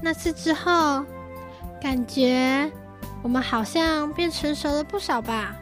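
A woman's voice: high-pitched, slow, over music. A teenage girl playing an affectionate, bossy elder sister in a Taiwanese Mandarin children's radio drama. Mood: reflective.

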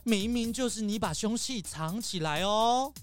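A woman acting a sly male fox in a children's audio drama, whining and milking every moment for drama. The voice sits a bit high, with clear music playing under it. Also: animated, a little slow. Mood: accusing. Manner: theatrical, whining, accusing. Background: background music.

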